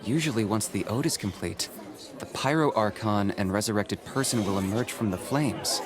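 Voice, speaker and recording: A man voicing a calm young man in a game, measured and terse. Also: on the low side, over music, lightly acted. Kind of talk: game voice acting. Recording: game mix with crowd noise.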